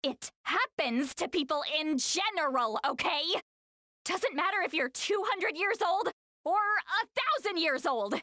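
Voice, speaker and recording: Very high, flustered girlish game character. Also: lively intonation, unhurried, bright, forceful tone, dry recording, studio quality, exaggerated voice. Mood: flustered, defensive. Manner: defensive, stammering, dramatic. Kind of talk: video game character acting.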